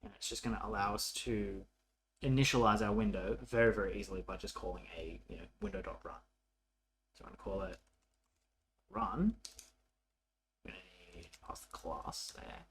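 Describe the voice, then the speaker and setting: A man narrating a coding tutorial casually, thinking aloud in an informal, halting way as he works. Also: on the low side, expressive, noisy background. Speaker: programming tutorial presenter.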